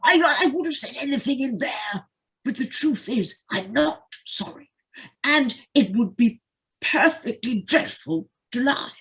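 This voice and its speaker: A woman's voice: sits high, lively intonation, a little slow, fairly bright tone, husky, exaggerated voice. A woman voicing a spoilt, foolish, boastful toad in an audio play, naive and prone to stammering. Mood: gleefully defiant. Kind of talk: community audio play acting. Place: living room, recorded over video call.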